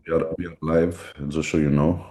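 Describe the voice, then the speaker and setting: A man's voice: extremely low pitch, little pitch movement, moderate pace, darkish tone. A young man with a Greek accent, hosting a casual live podcast chat in a blunt, profane, candid way. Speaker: podcast host.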